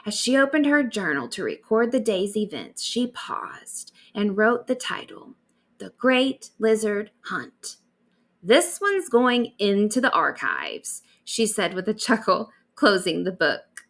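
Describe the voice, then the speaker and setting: A woman narrates a bedtime story with a very animated, swooping delivery. She reads expressively, as a storyteller sharing a tale aloud. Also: mid-range voice, measured pace.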